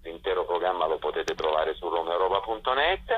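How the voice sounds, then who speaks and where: A festival director, a man, speaking in a radio phone interview and often pausing with fillers as he searches for words. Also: low voice, lively intonation, breathy edge. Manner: hesitant, with frequent fillers. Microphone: telephone. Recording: dull phone line.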